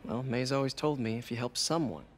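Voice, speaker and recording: An actor playing an earnest, wisecracking young American superhero in a video game, conversational and often awkward. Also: male voice, mid-range voice, brisk, slight background noise, close to an everyday voice. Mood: friendly, grateful. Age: young adult. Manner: stammering, boyish, conversational. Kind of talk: video game cutscene acting.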